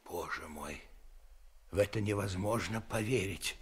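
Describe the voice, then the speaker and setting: A man's voice: deep voice, measured pace, husky, full character voice. An actor playing a haughty, curious giant king, pondering and exclaiming in a theatrical radio play. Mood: sceptical, amazed.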